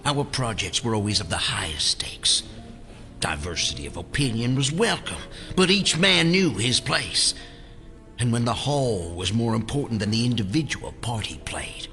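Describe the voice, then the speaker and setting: An actor playing an aggrieved, distrustful old elder of a secret group in an audio drama, speaking in formal, weighty oratory. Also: male voice, medium pitch, expressive, normal speed, bright, forceful tone, soft background music. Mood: grave, reproachful.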